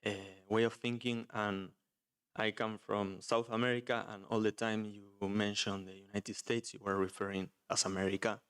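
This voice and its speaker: Argentine man, nervous, hesitant, smooth clear voice, audience question. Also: on the low side, level tone, moderate pace, fairly bright tone. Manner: hesitant, many "uh"s. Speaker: audience member asking a question.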